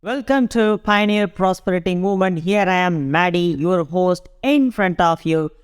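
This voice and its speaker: Smooth, unhurried South Indian podcast host. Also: medium pitch, no echo, clean studio sound. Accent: South Indian English. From Tamil Nadu, India. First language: Tamil. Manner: rambling, repeats and corrects itself, slow deliberate phrasing. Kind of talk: motivational podcast monologue.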